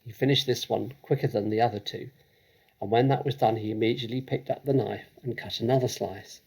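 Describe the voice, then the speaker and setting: Male storybook reader: husky, creaky voice, expressive and dramatic narration, mid pitch. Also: brisk, rough recording.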